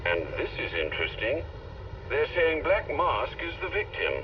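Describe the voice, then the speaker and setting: An actor playing a courteous elderly butler in a game, measured and formal, heard over a radio link. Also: male voice, fairly high pitch, big pitch swings, far from the mic. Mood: calm, intrigued. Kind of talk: cinematic game acting. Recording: muffled radio link with clear noise.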